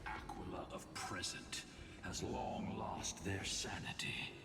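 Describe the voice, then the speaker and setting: An actor playing a grave, weary ancient titan lord in a video game, speaking breathily and with solemn formality. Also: male voice, sits low, expressive, slow, in a whisper. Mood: solemn warning. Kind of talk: scripted game drama. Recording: echoing hall, distant, game music behind.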